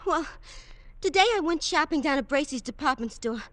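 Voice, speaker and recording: A woman's voice: high voice, lively intonation, brisk, dry recording. A woman playing an anxious, insistent working-class wife in a 1940s radio drama, urgent and colloquial. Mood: worried. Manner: breathlessly urgent, pleading, colloquial. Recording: vintage radio recording.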